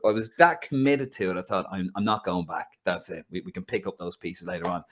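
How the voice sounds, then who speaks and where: Man from Ireland, low voice, lively pitch, casual football chat, animated storyteller, video call. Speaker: podcast host. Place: home in London.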